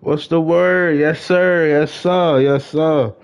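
A man's voice: fairly high pitch, fairly even intonation, slow, clean tone. A young American man chatting casually on a gaming livestream, slangy and repeating his greetings to viewers. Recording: slightly dull, lo-fi stream mic.

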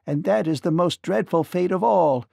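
A man's voice: high voice, moderate pace, creaky, a little mellow, no echo, clean studio sound, pitched above the performer's own voice, heavily acted. A man voicing a small, gloomy enslaved field mouse in a storybook. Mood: grim warning.

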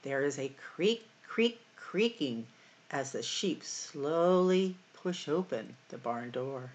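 A middle-aged woman hosting a library storytime, reading picture books aloud in a deliberate, expressive way for young children. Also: sits low. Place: small room at home or office, indoors.

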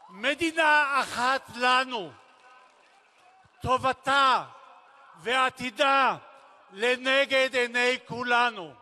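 A man delivers a formal rally speech through a big, reverberant public-address system. His voice is very high for a male and has a bright, forceful edge. He speaks solemnly and declaims in deliberate phrases, leaving weighty pauses between them. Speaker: speaker at a memorial rally, former military commander.

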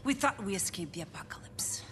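An actress playing a grim, embittered middle-aged officer in a video game, speaking in a stern, terse, dramatic way that often drops to an intense hush. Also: female voice, medium pitch, lively intonation, a little fast, in a whisper, natural voice. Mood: grim, desperate. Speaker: military commander. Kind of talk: cinematic video game acting.

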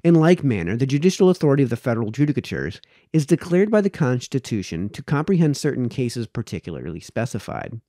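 A man in his thirties reading an old essay aloud in a careful, measured way, stumbling now and then, close to the microphone. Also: mid-range voice, sing-song, warm tone, no echo. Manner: careful, measured, stumbles over words and rereads them. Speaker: reader, host of a read-aloud series. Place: small indoor home study. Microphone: close microphone on a boom arm.

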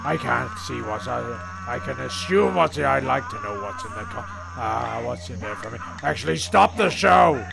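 A man's voice: medium pitch, very animated, normal speed, creaky, hardly any pauses, music in the background. A man playing a flustered, exasperated narrator of a comic castaway audio serial, rambling and stumbling over his words.

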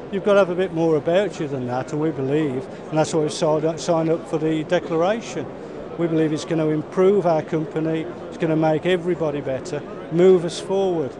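A middle-aged man, an insurance broker, answering a vox pop firmly and persuasively at a busy exhibition hall. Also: a little high. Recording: crowd chatter behind.

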